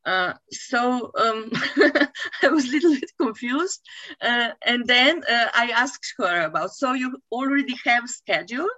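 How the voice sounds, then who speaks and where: A woman coachee, whose first language is not English, speaking hesitantly with many pauses and uhs. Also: fairly high pitch, animated, sharp tone, dry recording, highs cut off. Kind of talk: mentoring session.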